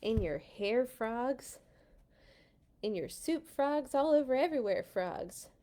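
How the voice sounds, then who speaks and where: A woman's voice: a little high, animated, takes it slowly, dark tone. A woman voicing a petulant, stubborn male Pharaoh in a children's storybook, whiny and emphatic. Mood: exasperated. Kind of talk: children's storybook read-aloud.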